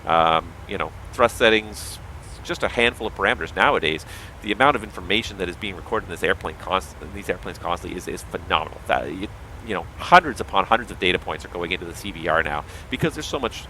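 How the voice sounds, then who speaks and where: A man's voice: sits low, lively intonation, fast talker, sharp tone, a little hiss or hum, low-quality recording. A man with a strained, tiring voice, a pilot streaming a livestream and explaining things at length with firm opinions. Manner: explanatory, opinionated, talks in long running thoughts. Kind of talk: casual livestream commentary.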